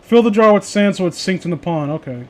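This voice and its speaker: A man doing casual commentary and banter as a streamer reading stories aloud, stammering, exasperated and quick to swear. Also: high voice, animated. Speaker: streamer reading creepypasta with friends.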